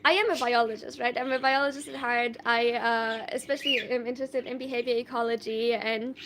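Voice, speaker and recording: A woman's voice: fairly high pitch, fairly even intonation, a little fast, noisy background. A young woman from Germany chatting casually on a live stream, answering viewer questions in a thoughtful, rambling way with many fillers and restarts. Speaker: livestreamer.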